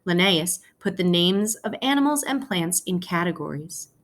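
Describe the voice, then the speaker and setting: A woman's voice: fairly low pitch, lively intonation, normal speed. A woman teacher speaking to students as she reads a children's picture book aloud, explaining things informally and phrasing carefully.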